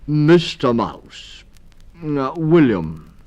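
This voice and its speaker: A man's voice: on the high side, very animated, very slow, a little mellow, lo-fi sound, voice pushed up, exaggerated voice. A man voicing a kindly, hesitant toad in a storybook, repeating his words and rambling, on a 1960s story record. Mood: earnest, hesitant. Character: Mister Toad, kindly hesitant old male toad adviser. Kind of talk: storybook character reading.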